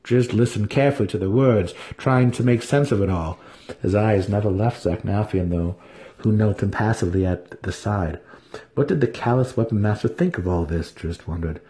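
A man's voice: fairly low pitch, moderate pace, warm tone. A man giving a dramatic scripted narration as an audiobook reader.

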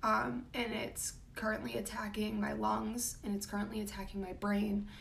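Raspy young woman, casual rambling. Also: level tone, moderate pace, slight background noise. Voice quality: raspy, low voice. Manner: casual, rambling, frequent ums and likes. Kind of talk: personal vlog talk. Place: home room.

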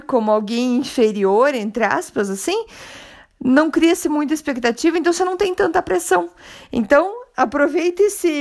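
A Brazilian woman with a high, light voice hosts a motivational podcast on her own. She talks in a loose conversational way, encouraging and reflective, as if speaking straight to one listener. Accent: Brazilian Portuguese. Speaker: podcast host.

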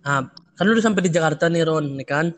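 A man's voice: a little high, fairly quick. A young man interviewing casually, asking chatty, slangy questions.

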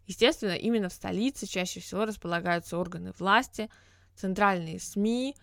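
Woman doing a solo podcast, thinking out loud, lots of little uh's, mid pitch, dry close sound. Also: brisk, vocal fry, no echo. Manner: explanatory, reflective, with frequent hesitation sounds. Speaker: podcast host.

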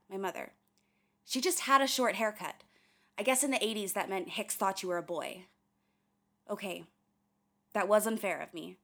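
A woman's voice: medium pitch, creaky, a bit bright. An actress in a radio play voicing an earnest, curious young woman, speaking in a candid, conversational way with wry touches. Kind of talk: radio play dialogue and recorded diary.

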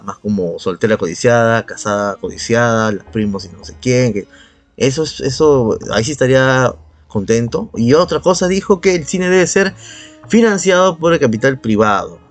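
Peruvian man ranting casually over music. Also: medium pitch. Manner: argumentative, sarcastic, swears casually. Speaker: podcast co-host. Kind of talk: casual opinionated podcast talk. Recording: background music under the voice.

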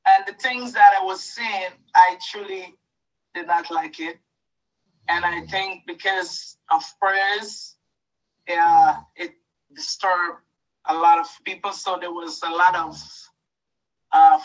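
A woman from Guyana giving a halting, emotional testimony to her church. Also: lowish voice, far from the mic, lo-fi sound. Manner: hesitant, halting, with fillers.

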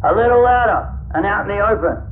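An actor playing a taunting, suspicious armed interrogator in a sci-fi audio drama, sarcastic and menacing through a radio filter. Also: male voice, high-pitched, lively intonation, fast talker. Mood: mocking. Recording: tinny radio sound with faint noise.

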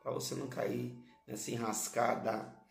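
A hoarse male pastor, preaching a sermon and repeating key phrases to his listeners. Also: mid-range voice, completely flat delivery, normal speed, echoey room, soft background music.

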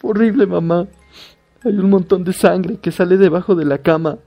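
Tipo poniendo voz de muchacha aterrada, tartamudea y ruega, cuento de terror grabado con música suave. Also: male voice, high-pitched, normal speed, warm tone, soft background music. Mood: panicked. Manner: panicked, stammering, pleading. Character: frightened young woman, a daughter.

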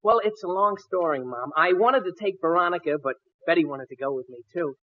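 Excitable lovesick teen boy, high, rapid-fire voice, old radio comedy, muffled narrow sound. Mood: earnest, hurried. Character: excitable, lovesick teenage boy, dramatic and impatient. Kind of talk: 1940s radio comedy acting. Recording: muffled, narrow-band 1940s radio broadcast.

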